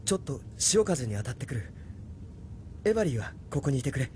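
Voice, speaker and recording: A man's voice: mid-range voice, big pitch swings, a little fast, a little mellow, slight background noise, natural voice. A man playing an earnest, wary young man who speaks seriously and questioningly in an audio drama. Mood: troubled. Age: young adult. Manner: plain-spoken, questioning, serious. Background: music.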